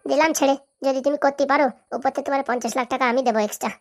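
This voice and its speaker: A woman's voice: high-pitched, steady tone, racing, a little mellow. A woman voicing a greedy, domineering village madam with a rural Bengali accent in a comedy cartoon. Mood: anxious, eager. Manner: domineering, scolding. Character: bossy, greedy village madam running for election.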